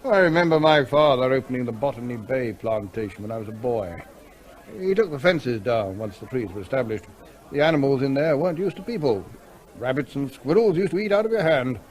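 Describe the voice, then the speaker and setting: An actor playing an older landowner and retired major, gruff and clipped, in a radio drama. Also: male voice, medium pitch, animated, a little fast, natural voice, rough recording.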